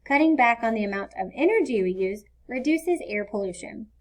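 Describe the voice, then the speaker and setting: Patient storybook mother, read by a woman, higher-pitched. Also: lively intonation, a little fast, close to an everyday voice. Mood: explaining. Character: patient, caring mother explaining things to her child. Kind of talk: children's storybook read-aloud.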